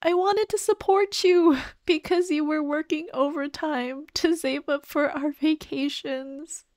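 A high, very dry and close voice of a woman acting as a dead girlfriend's ghost in an ASMR roleplay. She speaks slowly and tenderly, full of grief, gently pleading and comforting the one she left behind. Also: fairly even intonation, no echo. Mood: tender, reassuring. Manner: slow, tender, sighing, pleading.

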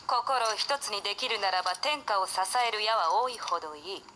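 A woman's voice: medium pitch, animated, a little fast, pushed and bright, slight background noise. An actress playing a proud, blunt female warrior lord in a video game, curt and imperious. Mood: grudging, proud. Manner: curt, imperious, archaic formal speech. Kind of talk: Japanese video game cutscene acting.

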